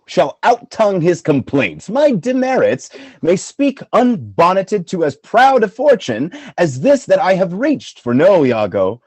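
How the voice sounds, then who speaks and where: Man playing a proud general, sweeping pitch. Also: a little mellow, slightly rough, no room sound, exaggerated voice. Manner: stately, declamatory. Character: proud, self-assured male Moorish general. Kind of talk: amateur Shakespeare radio play acting. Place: home, recorded over a video call.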